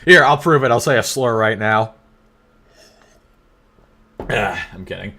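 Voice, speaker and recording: Bright, teasing young male streamer. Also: on the low side, lively intonation. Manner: joking, teasing, quick banter with frequent laughs. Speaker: livestream host. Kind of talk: casual live-stream chat, reading out chat messages.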